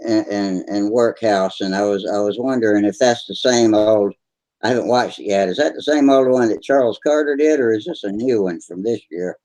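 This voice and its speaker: A man with a fairly low voice hosts a casual hobby chat over a video call. He rambles in a relaxed way and stops often to search for words. His sound is dry and close. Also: moderate pace, no echo, poor audio. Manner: relaxed, rambling, with many uhs. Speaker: forum hangout host.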